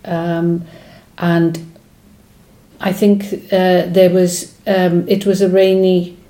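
Woman, first language Welsh, poet being interviewed, low voice, mellow tone, hesitant and reflective. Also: level tone, slow pace. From Wales. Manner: thoughtful, hesitant, many fillers and restarts.

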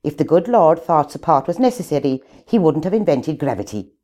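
A stern old nun with a lilt lays down the law to a room of schoolgirls, as an older woman puts her on. The voice sits low and mellow, with a blunt, sermonizing bite to every rule. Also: sing-song, fairly quick.

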